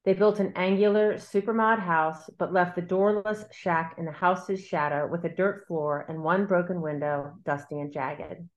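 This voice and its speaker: Woman as bold bossy girl, lowish pitch, measured storybook narration, declarative. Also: dull audio, close to an everyday voice. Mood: bold, matter-of-fact. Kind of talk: literary novel reading.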